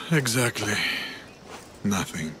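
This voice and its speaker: An actor playing a weary, grand arena master in a video game, speaking in a hushed, brooding, theatrical way. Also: male voice, fairly low pitch, animated, drawn-out words, a little hiss or hum, full character voice. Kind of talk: video game cutscene acting.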